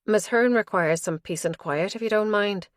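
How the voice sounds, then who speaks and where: A woman's voice: medium pitch, moderate pace, no room sound, studio quality, natural voice. A woman acting a firm, protective policewoman. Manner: curt, firm. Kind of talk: audiobook character dialogue.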